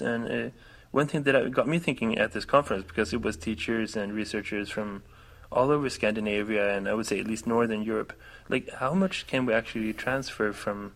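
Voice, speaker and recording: A man's voice: lowish voice, a bit bright, low-quality recording. A man interviewing a guest, asking questions conversationally and often murmuring agreement. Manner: engaged, frequent back-channel agreement, laughs easily.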